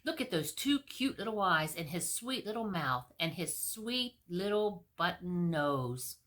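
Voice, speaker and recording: A whining, imperious queen acted by a woman from a comic storybook. Her voice is bright and swings widely in pitch, every line melodramatic. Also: sing-song, measured pace. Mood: doting. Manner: whiny, melodramatic.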